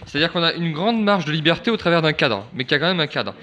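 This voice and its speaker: Male debater, slightly high pitch, quick speech, provocative, opinionated. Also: animated, bright, forceful tone. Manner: deliberately provocative. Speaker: discussion participant.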